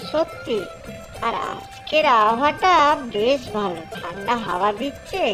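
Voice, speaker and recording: A man's voice: very high voice, very animated, normal speed, music in the background. A man voicing a cheerful, boastful young son-in-law in a family cartoon, with playful delivery. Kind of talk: cartoon voice acting.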